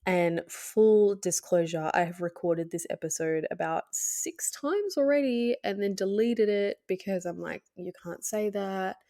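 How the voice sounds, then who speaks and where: A woman with a cold, sounding hoarse and nasal, hosting her podcast in a candid, hesitant way with many fillers and soft laughs. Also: medium pitch, lively intonation, measured pace, hardly any pauses. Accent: Australian. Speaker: podcast host. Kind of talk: casual solo podcast talk.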